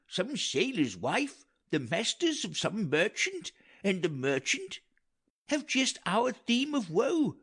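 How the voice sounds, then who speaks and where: A man's voice: sits high, fairly bright tone, husky, voice pushed up, full character voice. A man voicing a talkative, optimistic old royal counsellor in an earnest, wordy Shakespearean audiobook reading.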